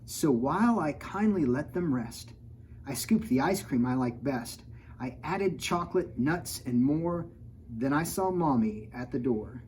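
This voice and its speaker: A man's voice: mid-range voice, animated, a little slow, a little mellow, a little hiss or hum, natural voice, poor audio. A man reading the rhyming picture-book part of an innocent, self-justifying three-year-old girl in a sing-song cadence. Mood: innocent, pleased.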